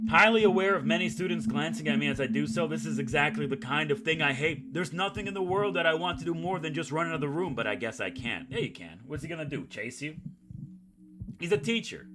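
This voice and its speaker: A man's voice: on the high side, expressive, a little fast, noisy background. A man hosting a gaming video, reading a visual novel aloud like a storyteller and dropping in casual, jokey commentary. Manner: jokey asides, dramatic storytelling read. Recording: music playing behind the voice.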